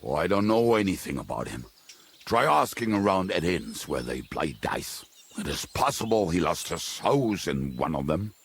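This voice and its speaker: A roguish medieval mercenary for a video game. His voice is low and gravelly, and it swings widely up and down as he talks. He is blunt, colourful and jovial in a wry, foul-mouthed way. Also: very animated, moderate pace, a little hiss or hum. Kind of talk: dramatic video game dialogue.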